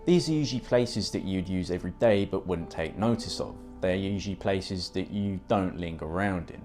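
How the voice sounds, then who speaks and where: Low-voiced man narrating over music. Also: moderate pace, a little mellow. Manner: informal, explanatory. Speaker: video essayist, narrator. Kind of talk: scripted video essay narration with casual asides. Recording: music behind the voice.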